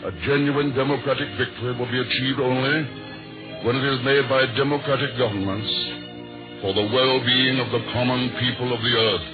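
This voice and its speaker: Low voiced guy giving a solemn formal speech, old 1940s radio, thin sound, faint music. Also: steady tone, phone-quality sound. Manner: solemn, deliberate oratory. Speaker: speaker reading a signed statement.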